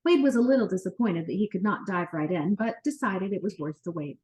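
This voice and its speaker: A woman narrating a children's book as an expressive, animated read-aloud storyteller. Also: medium pitch, brisk.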